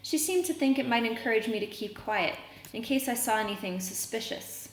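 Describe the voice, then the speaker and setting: A woman's voice: on the high side, animated, fairly quick, lightly acted. A woman voicing a dour, suspicious female neighbor in a storybook, stiff and disapproving with insinuating remarks. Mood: snide, suspicious. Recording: roomy echo, noticeable background noise.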